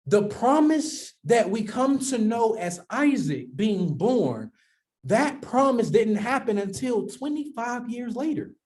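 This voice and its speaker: A man preaching a sermon, his voice sitting fairly high for a man. He reads a scripture passage aloud, then unpacks it in loose, conversational talk, circling back to repeat his main points. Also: very animated, slow, a little mellow.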